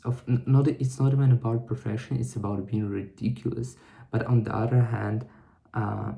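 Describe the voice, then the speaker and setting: A young man talking to camera in a reflective vlog, thinking aloud in a halting way with many restarts. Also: on the low side, vocal fry, dark tone. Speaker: vlogger. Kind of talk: reflective solo vlog monologue.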